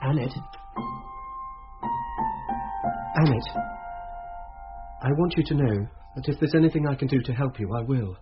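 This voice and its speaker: An actor playing an earnest, reflective schoolteacher in a radio drama, with a husky voice. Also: male voice, mid-range voice, fairly quick, dull audio, music in the background, close to an everyday voice. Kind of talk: radio drama acting and narration.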